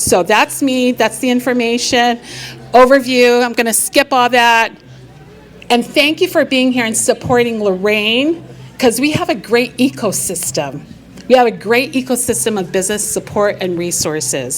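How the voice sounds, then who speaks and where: A middle-aged woman from Hawaii speaks from the stage at a live business event, her voice sitting a little high. She is upbeat and keeps the crowd involved with questions. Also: fairly bright tone. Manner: upbeat, engaging the audience with questions. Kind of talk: live presentation to an audience.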